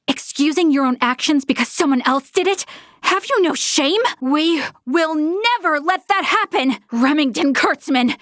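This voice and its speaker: Dutiful young woman officer from a sci-fi game, highish voice, formal n earnest, very dry close sound. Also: lively intonation, unhurried, a bit bright, no echo. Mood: indignant, defiant. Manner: formal, earnest, crisply articulate. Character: principled young female military officer, dutiful and stern. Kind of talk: video game character voice acting.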